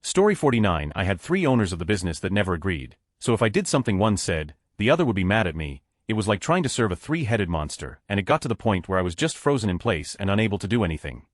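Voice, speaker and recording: A man narrating online stories aloud in a brisk, matter-of-fact reading. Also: on the low side, very animated, dry recording, studio quality.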